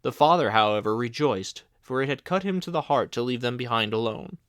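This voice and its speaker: A man's voice: medium pitch, a little fast, no room sound, clean studio sound. Man reading a fairy tale aloud. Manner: steady, clear storybook reading. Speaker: narrator.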